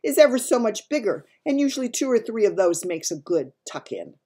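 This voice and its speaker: Storybook giant, played by a woman: runty, gentle male, raised pitch, animated, muddled grammar and nonsense words. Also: brisk, slightly rough. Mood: explaining. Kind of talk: dramatised children's storybook reading.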